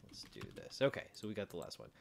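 Male tutorial instructor, lowish voice, informal and thinking aloud, with noticeable noise and keyboard typing behind him. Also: wildly swooping pitch, fast talker, creaky.

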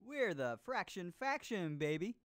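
A groovy disco chef hosting an educational game show. The delivery is slow, showy and full of jive, with pitch that swoops widely. Disco music plays behind the voice. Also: male voice, very animated. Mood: groovy, playful. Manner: exaggerated jive talk, drawn-out showy delivery. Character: groovy disco cooking show host, upbeat hipster. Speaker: game host. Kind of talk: scripted educational game character performance.

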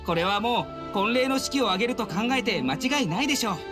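A man's voice: sits high, animated, quick pace. A man voicing an earnest, polite young servant of a noble house in a Japanese anime game, with formal, courteous speech. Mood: earnest, speculating. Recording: studio voice over game music. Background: game music.

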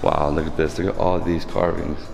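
A man in his thirties vlogging casually with a camera at arm's length, excitable and chatty, outdoors and inside a stone church. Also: extremely low pitch, normal speed, creaky, long reverb tail, soft background music. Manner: excitable, exclaims often, chatty. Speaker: travel vlogger. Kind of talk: casual travel vlog narration. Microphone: camera held at arm's length.

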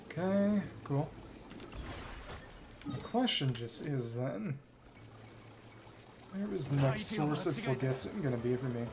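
A man's voice: medium pitch, expressive, slow, pauses often. A man streaming a video game, giving casual live commentary in short fragments, muttered to himself.

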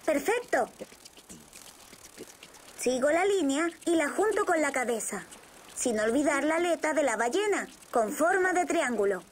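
Lively high-voiced boy rabbit. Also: unhurried, pauses often, a little hiss or hum. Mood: cheerful, instructive. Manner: bright, encouraging, explains step by step. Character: cheerful, helpful young boy rabbit who loves drawing. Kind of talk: children's cartoon dub.

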